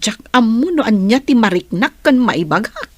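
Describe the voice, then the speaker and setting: A woman playing an excitable, nosy best friend in an Ilocano radio drama, theatrical and exclamatory, with a Filipino accent. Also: a little high, normal speed, dry recording. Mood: concerned, probing.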